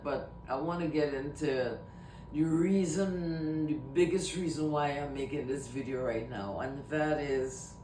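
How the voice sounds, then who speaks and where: Trinidadian woman, unhurried casual vlog talk, echoey room, mic set back, faint rumble. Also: a little mellow, distant mic. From Trinidad and Tobago. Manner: rambling, repeats herself, slow and emphatic. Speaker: vlogger.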